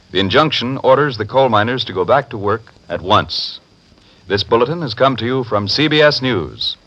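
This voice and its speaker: Male newsreader, 1940s radio bulletin: formal, forceful, lowish voice, lo-fi sound. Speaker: radio news announcer. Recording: dull, lo-fi vintage radio sound.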